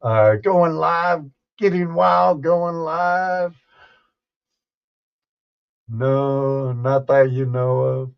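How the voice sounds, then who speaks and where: A man hosting a casual livestream, chatting and telling stories in a halting, unhurried, folksy way. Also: a little high, vocal fry, clear, resonant tone, dull audio. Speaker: livestream host and storyteller. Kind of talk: casual livestream chat and storytelling.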